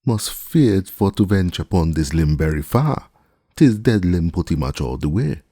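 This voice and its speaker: A man's voice: low voice, expressive, a little slow, warm tone, no room sound, clean studio sound, pitched above the performer's own voice, full character voice. A man doing a superstitious old servant, raised and drawling. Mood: fearful. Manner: drawling dialect speech, pleading. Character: older male servant, superstitious and stubborn. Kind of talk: audiobook character voice.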